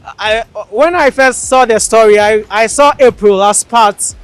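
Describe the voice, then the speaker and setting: Upbeat high-voiced man hosting. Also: unhurried, fairly bright tone, smooth, no echo. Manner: upbeat, promotional, asks follow-up questions. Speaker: host. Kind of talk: interview show hosting.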